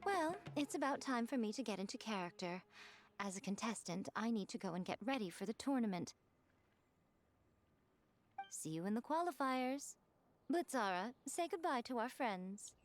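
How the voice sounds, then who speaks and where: A woman's voice: a little high, lively intonation, normal speed, pauses often, close to an everyday voice. A woman voicing a poised, shrewd, teasing lady in a video game, speaking formally and with composure. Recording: loud game music behind.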